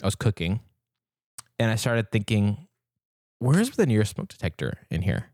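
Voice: medium pitch, big pitch swings, normal speed, darkish tone, dry recording, studio quality. A curious podcast co-host who is not a chemist, chatting casually and telling stories from home life. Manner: curious, storytelling, asks questions.

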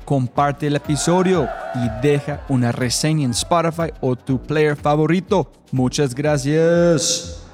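A man's voice: fairly high pitch, unhurried, a little mellow, faint music behind. A man hosting a podcast, opening with an enthusiastic, emphatic intro and then interviewing a guest. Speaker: podcast host.

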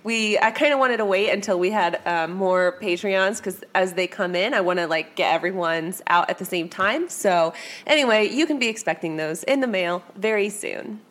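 A woman's voice: mid-range voice, normal speed, fairly bright tone. A woman hosting a casual true-crime podcast. She sounds congested from a cold and tired, and she talks in a chatty, hesitant way full of fillers. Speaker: podcast host.

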